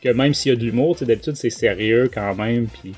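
A man's voice: medium pitch, brisk, a little mellow, faint music behind. A man with a Quebec French accent, a podcast host giving a casual review in a hesitant, rambling way. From Quebec, Canada.

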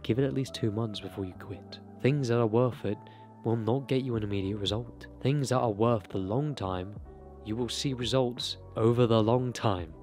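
A man's voice: medium pitch, moderate pace, darkish tone, faint music behind. A young man giving earnest, motivational self-improvement advice straight to camera, speaking close into a desk mic in a small bedroom. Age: young adult. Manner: earnest, motivational, direct address. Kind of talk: talking-head advice monologue. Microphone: desk broadcast mic close to mouth.

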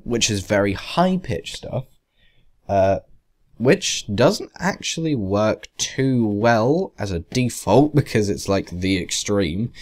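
A man giving an informal software tutorial in a conversational way, with wry, emphatic asides. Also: mid-range voice. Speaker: tutorial presenter. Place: voice-over to a screen capture.

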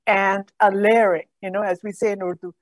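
A woman's voice: mid-range voice, little pitch movement, fairly quick, no echo. Middle-aged woman explaining, dry sound. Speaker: guest, program director. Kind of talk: explanatory talk on a video call.

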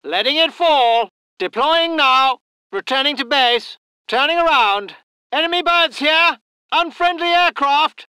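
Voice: high-pitched, animated, unhurried, bright, forceful tone, dry recording, full character voice, rough recording. An actor voicing a posh English military aviator in a video game, with clipped, old-fashioned understatement and a stiff upper lip. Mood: alert. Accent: upper-class English. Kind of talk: video game unit voice lines.

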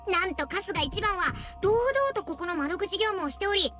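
A woman's voice: extremely high pitch, normal speed, music in the background. A woman voicing a cutesy, perky young virtual streamer who narrates scandal exposés in a video game. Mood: gleefully accusing.

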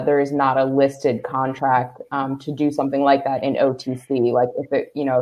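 Woman, panel moderator, low voice, casual, lo-fi video call sound. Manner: relaxed, casual, hesitant with ums.

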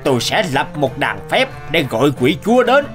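A confident sorcerer speaks slowly and deliberately, as though casting spells, voiced by a man. His voice sits a little high and moves a lot in pitch. A light music bed runs behind him. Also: very animated. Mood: assured. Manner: slow, confident, incanting. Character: self-assured male sorcerer chanting spells.

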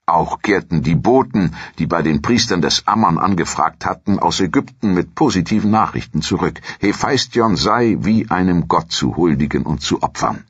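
Very deep, husky male documentary narrator. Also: expressive, moderate pace, hardly any pauses, dry recording, muffled recording. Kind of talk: scripted historical narration, audio documentary.

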